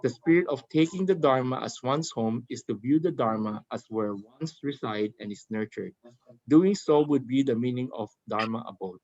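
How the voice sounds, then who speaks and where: A man reading a Buddhist passage aloud at an even, measured pace to a study group over a video call. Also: mid-range voice, dry recording. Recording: dull lo-fi call audio.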